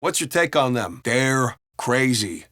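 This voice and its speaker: An actor playing a foul-mouthed, sardonic and excitable American city cop in a video game. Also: male voice, medium pitch, slow, no echo, studio quality, full character voice. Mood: irritated, uneasy. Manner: animated, sardonic, profane cop banter. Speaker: video game police officer. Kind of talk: game voice lines, banter and barks.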